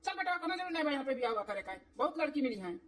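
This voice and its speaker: A stern, greedy village father from a cartoon comedy, acted by a woman. The voice is high and slightly distant, scolding haughtily in an Awadhi accent. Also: very animated, racing, fairly bright tone. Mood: haughty, angry. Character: stern, greedy father of the groom demanding dowry.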